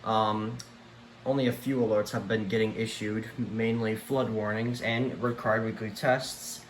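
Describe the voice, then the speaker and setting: Halting man over clear background noise. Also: lowish voice, flat delivery, roomy sound. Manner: halting, unscripted, trailing off. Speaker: collector presenting a home video tour. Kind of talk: casual show-and-tell vlog. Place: room at home among many radios. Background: weather radio broadcast playing.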